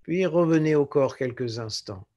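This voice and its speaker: A man guiding a relaxation meditation as a yoga nidra teacher, speaking in a calm, measured way with short phrases and pauses. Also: fairly high pitch, dull audio. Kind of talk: guided relaxation meditation instruction.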